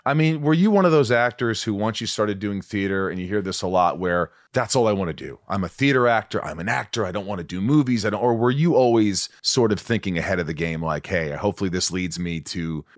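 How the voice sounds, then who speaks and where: Low-voiced man hosting, dry sound. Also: animated, fairly quick, few pauses, no echo. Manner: eager, encouraging reactions. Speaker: podcast host. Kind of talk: conversational interview.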